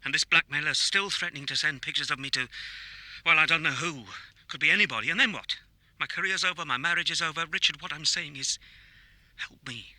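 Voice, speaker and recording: A man's voice: on the high side, fairly quick, sharp tone, no echo. A British actor playing a cowardly, panicky middle-aged professional man in a radio drama, pleading hesitantly and repeating himself. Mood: desperate, pleading. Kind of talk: naturalistic radio drama acting.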